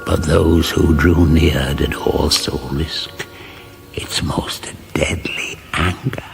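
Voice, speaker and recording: Casting: portentous fantasy narrator, very gravelly and slow, solemn, dramatic, with music beneath. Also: male voice, rumbling low, steady tone, heavily acted. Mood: grave, ominous. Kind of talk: scripted narration in radio comedy fantasy.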